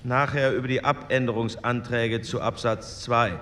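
A man's voice: mid-range voice, fairly even intonation, moderate pace, fairly bright tone, big-room sound, close to an everyday voice. An actor playing a 1940s committee chairman who calls votes in a formal, procedural way. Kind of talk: formal parliamentary proceedings, radio play.

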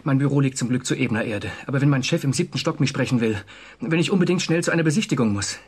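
An actor in a German radio drama playing an anxious, conscientious civil servant, confessional and agitated. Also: male voice, medium pitch, animated, quick pace, a little mellow, husky. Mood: distressed.

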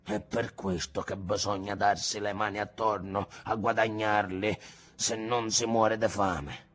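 A man voicing a weary, grieving old fisherman grandfather in an audiobook, speaking slowly and pleadingly. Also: fairly low pitch, fairly even intonation, a bit bright, pitched below the performer's own voice. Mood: resigned. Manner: slow, pleading, subdued. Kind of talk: audiobook character reading.

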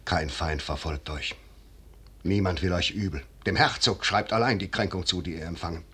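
An actor playing a shrewd, commanding, noble general in a 1950s German radio drama, speaking in measured, deliberate declamation. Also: male voice, sits low, bright, forceful tone, breathy edge, heavily acted. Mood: persuasive.

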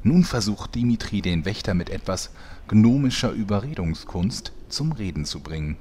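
A husky-voiced man reading scripted narration for a parody audio drama. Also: lowish voice, expressive, moderate pace, dark tone.

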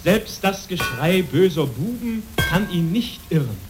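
A man narrating a radio play as a solemn, measured storyteller. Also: high voice, big pitch swings, over loud music, rough recording. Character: solemn male storyteller narrating a monk's legend.

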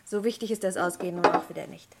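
An actress playing a caring wife in an old radio crime play, speaking with light, fond admonishment. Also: female voice, lowish voice, fast, creaky, heavy background noise. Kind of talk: 1950s-80s radio drama acting.

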